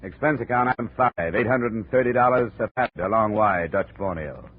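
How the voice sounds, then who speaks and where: Low-voiced hard-boiled radio detective, played by a man. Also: normal speed, natural voice. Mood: dry, narrating. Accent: American. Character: tough, cynical male American insurance investigator in the jungle. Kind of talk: 1950s radio detective drama, first-person narration and dialogue. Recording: muffled, noisy 1950s radio recording.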